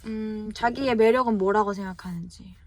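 A woman's voice: mid-range voice, normal speed. A woman asking questions politely in an informal interview. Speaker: interviewer reading viewer questions. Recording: faint background ambient noise.

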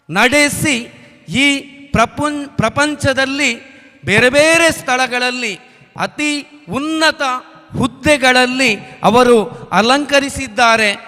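A man giving a formal public speech in an emphatic, deliberate way, repeating phrases for weight. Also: high voice, pushed and bright. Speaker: guest speaker, teacher. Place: stage at a school event. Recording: reverberant hall PA.

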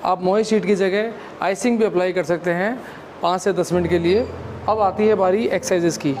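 A man in his thirties, a physiotherapist, calmly explaining exercises step by step in a clinic room, on a lapel mic. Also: high voice, moderate pace, a little hiss or hum. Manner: calm, instructive, explains step by step.

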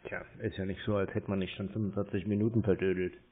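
A man's voice: sits low, flat delivery, quick pace, phone-quality sound. A man in casual phone talk with a laconic, muttering way of speaking. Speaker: scam-baiter posing as a customer on a phone call.

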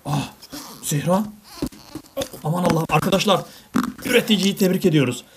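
Low-voiced woman over noisy recording. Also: moderate pace. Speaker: parent hosting a toy unboxing video. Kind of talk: casual chat with a child and viewers. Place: indoors at a table. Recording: clearly noisy home recording with clicks.

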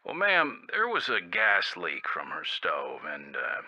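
A sober county sheriff in a radio drama. His fairly low voice is very forceful and sharp, yet polite and formal. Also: wildly swooping pitch, slow, highs cut off, full character voice. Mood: grave. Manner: polite, hesitant.

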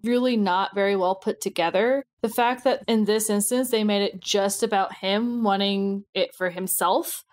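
A woman's voice: medium pitch, fairly even intonation, normal speed, hardly any pauses. A woman co-hosting a podcast, talking casually and giving her opinions emphatically in a panel discussion. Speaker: podcast co-host.

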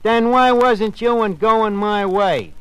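High-pitched, breezy New wisecracker. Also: male voice, slow pace. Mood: teasing. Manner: slangy, ungrammatical working-class speech. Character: breezy, wisecracking tavern manager mangling his English. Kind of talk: 1940s radio comedy acting. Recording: noisy old radio broadcast recording.

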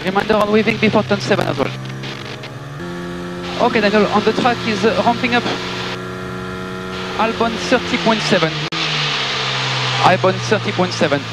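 A high-voiced man speaks as a race engineer over team radio. He gives short, clipped instructions in a matter-of-fact, polite way, with very loud engine noise across the line. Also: normal speed, long pauses. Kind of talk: terse radio instructions to a racing driver.

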